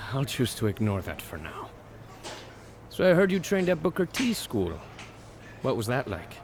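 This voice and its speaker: Animated, wry wrestler from Mexico. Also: male voice, medium pitch, measured pace, a little hiss or hum. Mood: guarded, wry. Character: friendly but measured male Mexican wrestler trainee. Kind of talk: video game cutscene voice acting.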